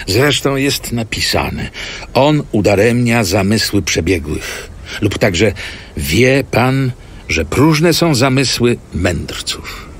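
An actor playing a solemn apostle exhorting his congregation in a scripture audio drama, gravelly and deliberate. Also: sits low, fairly bright tone, slight background noise, heavily acted. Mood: emphatic.

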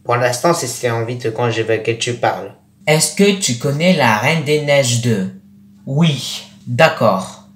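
A young man in his late teens speaking casually for a vlog, in a rambling, repetitive and halting way. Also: medium pitch, lively intonation. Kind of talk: casual vlog with acted-out conversations. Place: small attic bedroom, indoors. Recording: roomy, echoey webcam-style recording.

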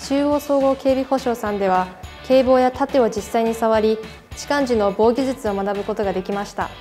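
A young female high school student giving a formal, rehearsed interview answer. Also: moderate pace, dark tone, soft background music.

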